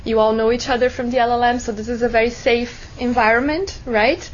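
A woman instructor speaks to her class in an encouraging tone, asking rhetorical questions. Her voice sits a little high. The recording is slightly muffled, with faint noise underneath. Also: steady tone, fairly quick. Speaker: law school instructor. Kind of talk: classroom workshop teaching.

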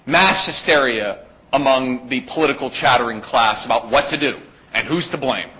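Loud, emphatic man with a bright voice. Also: a little high. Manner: emphatic, with rhetorical "right?" checks. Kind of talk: live policy talk to an audience. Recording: dull lo-fi recording.

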